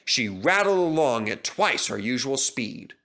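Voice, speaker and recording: A man narrating a scripted children's story in a theatrical, expressive way. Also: mid-range voice, measured pace, creaky.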